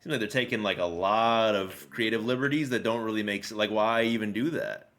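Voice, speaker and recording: Laid-back low-voiced American man. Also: fairly bright tone. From United States. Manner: easygoing, halting, lots of fillers and laughs. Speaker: streamer host. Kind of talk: casual chat.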